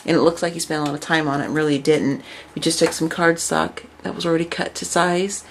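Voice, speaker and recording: A woman casually showing her craft projects and chatting about them, her voice raspy from overuse and her talk full of fillers. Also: deep voice, flat delivery, fairly quick, slight background noise. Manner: chatty, filler-heavy, enthusiastic. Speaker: craft vlogger. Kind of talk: casual show-and-tell.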